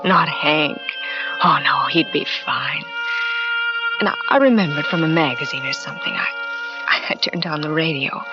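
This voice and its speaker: A gentle, devoted young wife, played by an actress, speaking softly and halting as she comforts her husband. Her voice comes through a dull vintage radio broadcast. A music score plays clearly underneath. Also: low-pitched, close to an everyday voice. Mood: anxious. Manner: soft, soothing, halting with repeated words. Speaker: narrator and wife. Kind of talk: 1940s radio acting.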